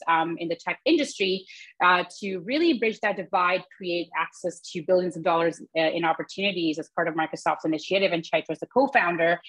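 A woman's voice: fairly low pitch, breathy edge, low-quality recording. A woman from Pakistan hosting an interview over a video call, talking conversationally with many fillers. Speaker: host. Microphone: webcam on a video call.

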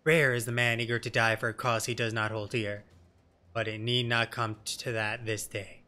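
A man's voice: mid-range voice, level tone, pushed and bright, pitched below the performer's own voice, lightly acted. Man voicing a resolute, formal lady knight. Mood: grave, persuasive. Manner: formal, commanding, measured archaic phrasing. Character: stern, formal woman knight commander, resolute. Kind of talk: game dialogue read in character.

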